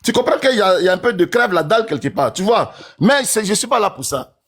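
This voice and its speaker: A man's voice: high voice, sing-song, fast, fairly bright tone. A man in his forties talking in a casual, rambling monologue to his followers, who keeps asking whether they understand. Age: 40s.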